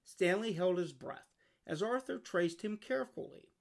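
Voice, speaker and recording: A storybook narrator reading aloud for children. The voice sits at a medium pitch and has clear vocal fry in it. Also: expressive, normal speed. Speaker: storybook reader.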